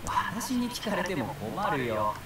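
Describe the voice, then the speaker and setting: A man's voice: fairly high pitch, sing-song, normal speed, far from the mic, a crowd in the background. An actor playing a dutiful young male Japanese police officer in a visual novel, exasperated but patiently questioning.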